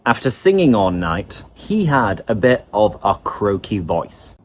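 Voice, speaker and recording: A man teaching English vocabulary in an online lesson, explaining words in a lively way. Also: lowish voice, a little slow, a little hiss or hum.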